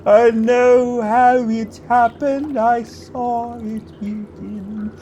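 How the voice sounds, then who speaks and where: A thin, high, forlorn singing prisoner. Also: male voice, level tone, extremely slow, warm tone, a little hiss or hum, heavily acted. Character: forlorn prisoner singing deep below a jail.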